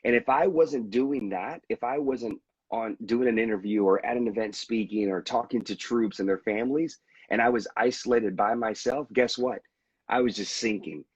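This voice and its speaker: A man's voice: mid-range voice, normal speed, breathy edge, no room sound, dull audio. A veteran guest speaking candidly and reflectively about his own experiences, often restarting his sentences as he talks. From United States. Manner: earnest and reflective, with frequent restarts. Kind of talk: candid conversational storytelling.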